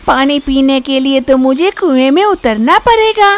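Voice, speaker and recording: A woman's voice: high voice, animated, a little slow, voice pushed up. A woman voicing a cunning, scheming female fox in a children's cartoon story, with theatrical delivery. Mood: worried.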